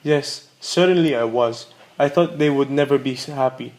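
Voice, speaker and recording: A man's voice: medium pitch, normal speed, darkish tone, lightly acted. An actor playing a kindly, courteous, wistful older father in a radio play. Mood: puzzled, paternal.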